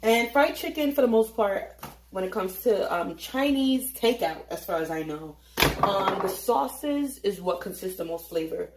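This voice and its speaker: A woman talks her way through a late-night cooking session in her home kitchen, joking and swearing casually as she works. She sounds tired but chatty. The room is echoey, with clear background noise under her voice. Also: mid-range voice, animated, a little slow. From Haitian family. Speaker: home cook vlogger. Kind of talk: casual cooking vlog chat.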